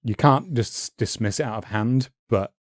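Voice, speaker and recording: A man's voice: mid-range voice, normal speed, no echo, clean studio sound. A man co-hosting a podcast, thinking aloud in loose, hesitant chat. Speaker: podcast co-host. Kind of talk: casual chat.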